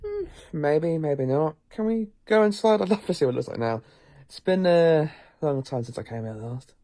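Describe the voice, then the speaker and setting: A man playing a brisk, eccentric time-travelling professor in an audio drama, musing and hesitant yet commanding. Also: a little high, big pitch swings, warm tone, dry recording. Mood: curious, hesitant.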